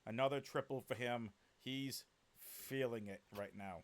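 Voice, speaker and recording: A man casting an esports match solo, with a deep voice, calling the plays and chatting with viewers, and excitable enough to build to shouts on big plays. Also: unhurried, slight background noise. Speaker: esports caster. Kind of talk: solo play-by-play esports commentary.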